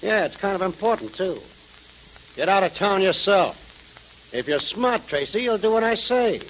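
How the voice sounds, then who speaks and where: An actor playing a smug, scheming crime boss in 1930s radio drama style, sneering and sarcastic on a hissy old recording. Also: male voice, sits high, animated, moderate pace, a bit bright, rough recording. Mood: menacing.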